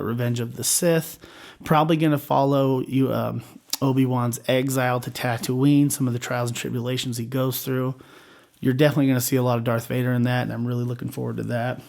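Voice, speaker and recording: A young man chats to his viewers in an easygoing, upbeat way, full of fillers. His voice is slightly hoarse, and his pitch sits in the middle for a man. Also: steady tone, brisk, a little mellow. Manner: chatty, upbeat, many fillers. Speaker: video host. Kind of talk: casual enthusiastic fan commentary.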